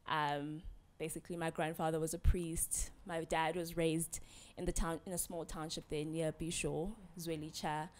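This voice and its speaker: A young woman from the Eastern Cape, a lively guest in a casual interview who laughs easily. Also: deep voice, moderate pace, hardly any pauses. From Eastern Cape, South Africa. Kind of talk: casual conversation. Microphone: handheld mic.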